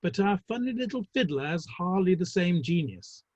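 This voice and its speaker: A man playing a wry, urbane, gossipy Victorian theatre manager in a dramatic play reading, with arch, ornate delivery and a husky voice. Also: sits high, very animated, moderate pace, darkish tone, dry recording, dull audio. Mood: droll, gossipy. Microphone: online call.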